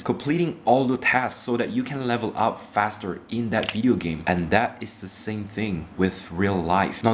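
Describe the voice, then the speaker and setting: A young man lectures his viewers in a blunt, profane rant, calling them "bro". His voice sits low, and a faint hiss runs under it. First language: Indonesian. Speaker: self-improvement vlogger.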